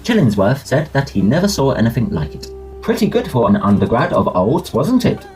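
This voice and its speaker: A man's voice: mid-range voice, wildly swooping pitch, brisk, darkish tone, soft background music. A man playing a hearty, gossipy young student oarsman in a Victorian audio drama, speaking emphatically in clipped British English. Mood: confiding, disdainful. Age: young adult.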